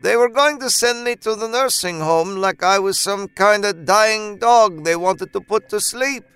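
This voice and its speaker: A guilt-ridden old grandma played by a male narrator, hot-tempered and dramatic. The voice sits high and pleading, over background music in a horror storybook reading. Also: measured pace, a bit bright, clear, resonant tone, no echo. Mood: indignant.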